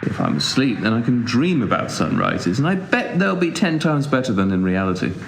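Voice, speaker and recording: A man's voice: medium pitch, very animated, brisk. A young man acting a cynical, sardonic, aimless younger brother in a naturalistic audio drama, with a dry, wordy wit. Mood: exasperated. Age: young adult. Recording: echoey room, faint background noise.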